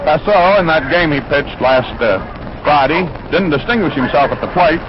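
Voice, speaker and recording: A man's voice: fairly high pitch, expressive, normal speed. An American man calling baseball play by play in 1940s radio commentary style, chatting descriptively between plays. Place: ballpark broadcast position. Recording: noisy, dull old 1940s radio broadcast.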